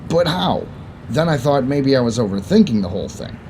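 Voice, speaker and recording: A young man narrating and acting a first-person audio drama, moving between calm storytelling and panic. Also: medium pitch, a little fast, slight background noise, low-quality recording. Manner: expressive, swinging from calm narration to panicked outbursts.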